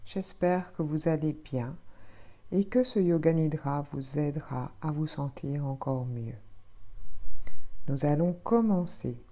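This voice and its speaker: A woman leads a guided relaxation as a yoga teacher. Her voice is low and soft-toned, hushed and soothing. She speaks extremely slowly and in a measured way, gently repeating her phrases.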